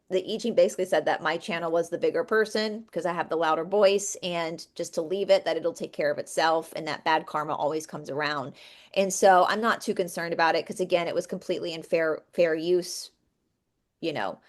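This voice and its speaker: A woman co-hosting a casual chat, talking passionately and rambling on with restarts. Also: on the low side. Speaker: co-host.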